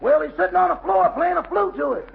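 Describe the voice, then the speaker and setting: A sassy manservant in an old radio comedy. The man has a piping yet very raspy, croaky voice and answers back fast and deadpan. The sound is tinny, like a telephone. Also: slight background noise, full character voice. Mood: alarmed, skeptical. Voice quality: gravelly, squeaky. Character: cheeky, skeptical manservant to the star. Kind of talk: 1940s radio comedy acting. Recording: thin, phone-like 1940s radio broadcast.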